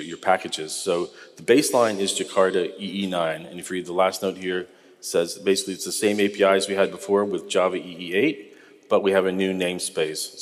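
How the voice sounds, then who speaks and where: Deep-voiced American man in a hall. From United States. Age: middle-aged. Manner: relaxed, conversational, frequent ums, polls the audience. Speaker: conference speaker. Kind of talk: technical conference talk. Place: large conference hall.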